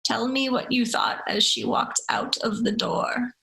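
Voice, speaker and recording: A woman reading as a calm, merciless demon. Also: on the high side, a little slow, vocal fry, full character voice, poor audio. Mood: without hate. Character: calm, merciless demon torturer without hate. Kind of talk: literary story reading.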